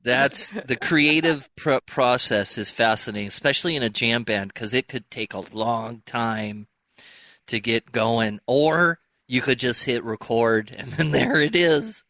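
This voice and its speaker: A man's voice: mid-range voice, a little slow, bright, forceful tone, no echo. A man co-hosting a casual interview in informal, conversational language. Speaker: interviewer, co-host.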